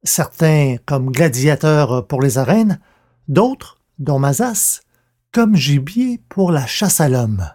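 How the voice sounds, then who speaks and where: A man's voice: a little high, very animated, slow pace, a little mellow. A man reading scripted audiobook narration as the presenter and narrator.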